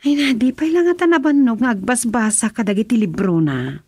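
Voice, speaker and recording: Lively-pitched madam of the house. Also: female voice, a little fast, breathy edge, dry recording. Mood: self-assured. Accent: Filipino. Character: lady of a wealthy house addressing her helper. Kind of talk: Filipino radio drama acting.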